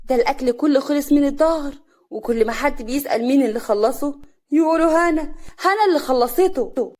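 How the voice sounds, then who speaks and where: Woman playing vain young village sister-in-law, high voice, sly taunts, Upper Egyptian accent, audio drama tale. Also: fast, darkish tone, lightly acted. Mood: angry taunting.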